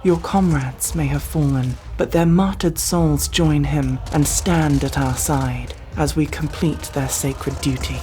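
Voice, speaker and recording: A woman's voice: low-pitched, fairly even intonation, unhurried, few pauses, dark tone, faint music behind. A woman playing a devout, zealous sci-fi battle sister in an ASMR roleplay, with solemn, preaching, archaically formal speech. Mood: fervent, rallying. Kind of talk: ASMR roleplay, dramatic monologue.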